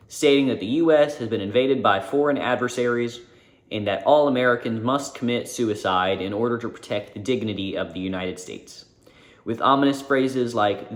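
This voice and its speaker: Male narrator for a casual explainer; mid-pitched, relaxed delivery, recorded in an echoey room. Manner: informal, with self-corrections. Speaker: video essay narrator. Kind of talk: narrated video essay. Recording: roomy echo.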